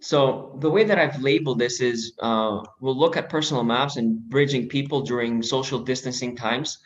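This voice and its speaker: Greek guy presenting on a video call, measured, lots of ums and restarts, kinda muffled audio. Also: mid-range voice. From Greece. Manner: measured, many fillers and restarts. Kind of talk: online presentation.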